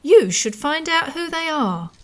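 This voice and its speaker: High, chatty village newsagent. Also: female voice, sing-song, a little slow, a bit bright, full character voice. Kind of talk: radio drama acting.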